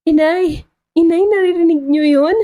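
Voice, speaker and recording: A woman voicing an excited young female student who exclaims gratefully in an audio love story. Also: very high-pitched, moderate pace, pitched above the performer's own voice. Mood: amazed joy.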